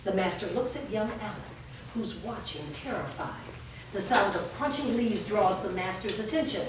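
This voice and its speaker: A woman's voice: medium pitch, lively intonation, normal speed. A woman reading stage directions as scripted narration at a staged reading. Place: bare indoor room with small audience. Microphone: far camera. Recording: echoey room, distant mic, noisy.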